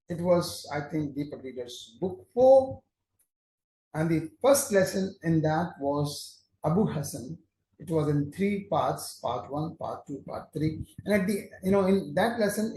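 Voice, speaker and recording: An older man with an Indian English accent, recalling his teaching days in a measured, explanatory way. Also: a little high, dark tone. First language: Hindi or Urdu. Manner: measured, explanatory, with frequent restarts. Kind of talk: reflective teaching talk. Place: office, indoors. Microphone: camera on desk, mid-shot. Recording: echoey room with faint hiss.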